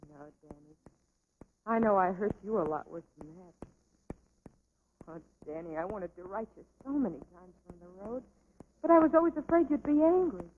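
An actress playing a tender but firm young stage dancer in an old film melodrama. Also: female voice, medium pitch, animated, normal speed, pauses often, narrow, tinny audio, noisy background, natural voice.

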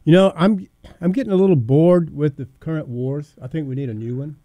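Man, radio host, teasing, restarts a lot, somewhat high voice, dark tone, dry close sound. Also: no room sound. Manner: teasing, quick questions, stammering restarts. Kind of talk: casual talk radio banter.

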